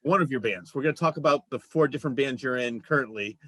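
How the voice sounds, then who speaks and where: A male talk show host with a mid-range voice, chatting warmly and enthusiastically with his guest. His voice is close and dry, with no room sound around it. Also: mid-range voice, quick pace, no room sound. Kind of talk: casual interview chat.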